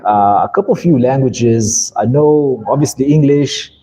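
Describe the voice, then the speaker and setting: A young man from South Africa, whose first language is Zulu, hosts a live stream with a relaxed, rambling delivery. His voice sits at an ordinary pitch with a warm, dark tone, and he fills his talk with an easygoing "man". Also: a little slow, slightly rough, poor audio. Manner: laid-back, rambling, says "man" often. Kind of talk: casual live stream chat.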